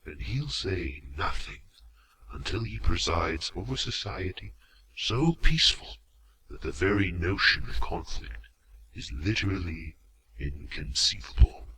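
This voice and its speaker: A man playing an eloquent, cruelly courteous robot villain commander in an audio drama, speaking theatrically with deliberate pauses and hushed drops. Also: low voice, very animated, a crowd in the background, low-quality recording. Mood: solemn, zealous. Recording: amateur home recording, faint noise.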